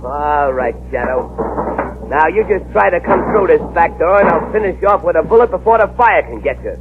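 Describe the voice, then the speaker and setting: An actor playing a ruthless arsonist gang boss in an old radio drama, barking threats and orders. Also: male voice, fairly high pitch, expressive, fairly quick, phone-quality sound. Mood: frantic. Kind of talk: 1940s radio acting. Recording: scratchy old radio broadcast, hiss.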